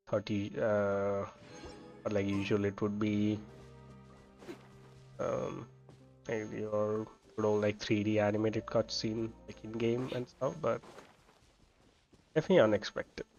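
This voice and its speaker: Guy streaming a game, lowish gravelly fry, rambly low-key commentary, bit of music in the back. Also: muffled recording. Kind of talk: casual live gameplay commentary.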